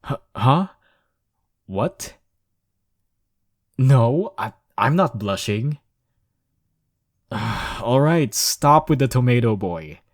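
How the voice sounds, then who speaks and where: A man's voice: mid-range voice, big pitch swings, very slow, pauses often, no echo, exaggerated voice. A cocky young man, played by a male voice actor in an intimate romance audio, teasing and easily flustered. He takes his time over the words, and the sound is close and dry, with no room around it. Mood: embarrassed, defensive. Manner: teasing, chuckling, sighing, stammering when flustered.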